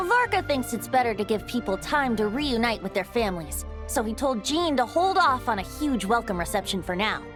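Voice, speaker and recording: Genial, lyrical lady for a game, high register, expressive melody, courteous delivery. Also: a little fast, few pauses, over music. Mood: reflective. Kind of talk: video game voice acting.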